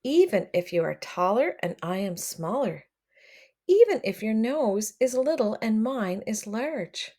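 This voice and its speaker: A woman reading a picture book aloud to children, expressive and addressing them directly as she comments along. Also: fairly high pitch, a little slow. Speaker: read-aloud storyteller for children.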